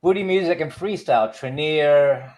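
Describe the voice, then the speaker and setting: A middle-aged man from Cuba, raised partly in the United States, reminiscing casually as a DJ guest in a relaxed, halting way. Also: a little high. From born in Cuba, moved to the United States as a child. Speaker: DJ, podcast guest.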